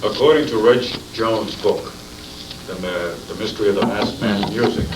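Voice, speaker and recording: A man's voice: lowish voice, moderate pace. Distant, echoey man citing facts. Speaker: knowledgeable audience contributor. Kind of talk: factual interjection citing research. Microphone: away from the microphone. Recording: roomy, distant, noisy 1980s tape with clicks.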